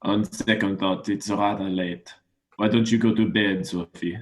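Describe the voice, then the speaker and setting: A man's voice: lowish voice, fairly even intonation, unhurried, lightly acted. A young man playing a patient, explanatory father of small children in a radio play. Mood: gently dismissive. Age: young adult.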